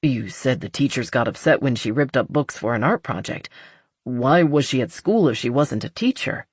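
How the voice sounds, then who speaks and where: A woman voicing a laid-back, gently teasing man in love for an audiobook romance. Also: very deep voice, animated, normal speed, husky, no room sound, clean studio sound, exaggerated voice. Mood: puzzled. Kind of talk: audiobook character dialogue.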